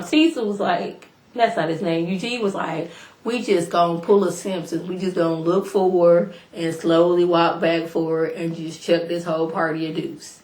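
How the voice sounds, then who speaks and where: A woman casually recapping a reality show episode for her viewers in a chatty, slangy way, a little hoarse from a cold. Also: on the low side. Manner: chatty, animated, slangy, addresses viewers directly. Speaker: YouTube reality TV reviewer. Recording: echoey room.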